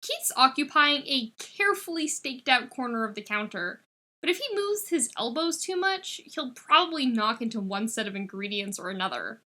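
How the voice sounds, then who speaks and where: A woman reading a story aloud as an expressive narrator of scripted fiction. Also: a little high, moderate pace. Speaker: podfic reader.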